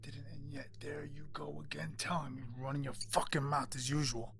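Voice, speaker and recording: A man's voice: fairly high pitch, level tone, brisk, creaky, fairly bright tone. An actor playing a stubborn, argumentative husband and father in a naturalistic audio drama, with a sarcastic, conversational delivery. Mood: hushed, irritated. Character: stubborn, exasperated husband and father under quarantine.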